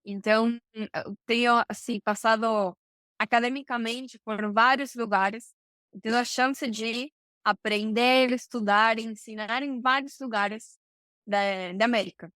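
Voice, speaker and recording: High, clear woman. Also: fairly even intonation, measured pace, fairly bright tone, dry recording. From Argentina, Buenos Aires. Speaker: podcast guest, supply chain professional. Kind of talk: conversational interview answers.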